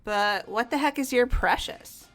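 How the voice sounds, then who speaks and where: A woman playing an excitable, candid young character in a fan-voiced game, with informal, exclamatory delivery. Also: a little high, unhurried, soft background music. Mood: concerned, puzzled.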